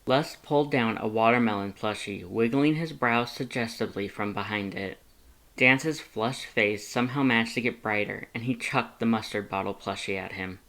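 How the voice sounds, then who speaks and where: Fan fiction audiobook narrator, mid-pitched voice, scripted storytelling. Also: normal speed, a bit bright.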